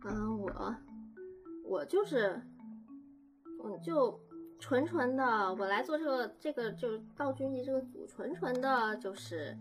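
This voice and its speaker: A woman in casual behind-the-scenes chat, talking in halting, repetitive phrases. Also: on the high side, animated. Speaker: audio drama crew member. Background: music.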